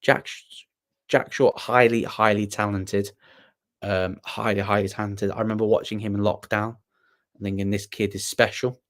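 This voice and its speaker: A man's voice: lowish voice, level tone, normal speed, a bit bright. A young man hosting a casual livestream, rambling and self-deprecating as he answers viewers with plenty of ums and restarts. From Wales. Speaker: livestream host.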